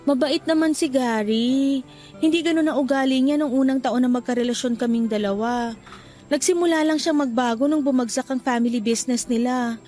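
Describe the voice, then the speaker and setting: An actress with a Filipino accent playing a meek, forgiving young woman in a radio drama, apologetic and soft-hearted. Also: high voice, lightly acted. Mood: defensive, pleading. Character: meek, forgiving young woman excusing her jealous boyfriend.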